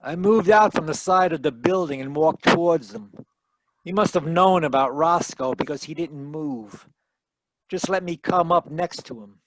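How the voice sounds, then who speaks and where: A man playing a wry, deadpan hardboiled detective who narrates his case in 1940s radio style. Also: a little high, normal speed, close to an everyday voice, rough recording. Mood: tense narration. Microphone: close home mic on a video call.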